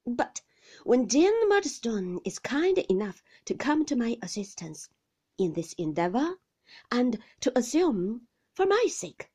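A woman's voice: on the high side, very animated, slow, a little mellow, slightly rough, pitched above the performer's own voice, full character voice. A woman voicing a stern, domineering husband in an audiobook, commanding and pompous, with cold indignation and heavy emphasis. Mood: indignant. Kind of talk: audiobook character acting of a classic novel.

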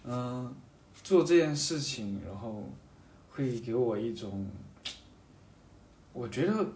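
A man chatting casually and reflectively as a guest, thinking aloud in a halting way and often repeating his phrases. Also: medium pitch, animated, darkish tone, recorded from a distance. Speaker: podcast guest talking about a hobby of seal carving. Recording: roomy echo, off-mic, faint background noise.

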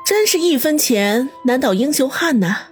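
Audiobook role: frank, tough young woman performed as a character, high and lively, light music behind. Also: moderate pace. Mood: puzzled, frank.

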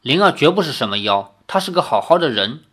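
Young wandering swordsman, frank and earnest, lively voice, read by a guy. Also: a little high, brisk, breathy edge, heavily acted.

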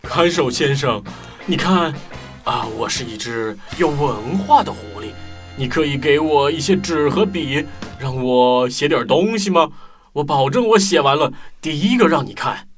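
A man's voice: a little high, expressive, unhurried. A man playing a cultured, gluttonous book-eating fox in a theatrical way for a children's radio play. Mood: pleading, polite. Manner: theatrical, munching and humming while eating. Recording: music bed behind the voice.

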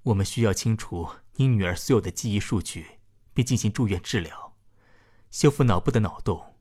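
An actor playing a calm, dutiful doctor in an audio drama, speaking in a measured, formal, politely firm way. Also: male voice, fairly low pitch, slightly rough. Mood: calm, clinical.

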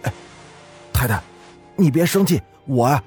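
A man's voice: medium pitch, expressive, measured pace, darkish tone, husky, faint music behind, voice pushed up. A man voicing a male household steward in an audio ghost story, coaxing to his betters and brusque to servants. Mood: placating. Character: male household steward, placating yet callous.

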